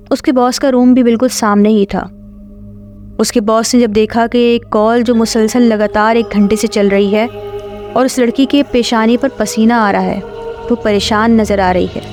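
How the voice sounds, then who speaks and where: A woman's voice: fairly high pitch, darkish tone. A woman reading a scripted horror story as its narrator. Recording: clear music bed under voice.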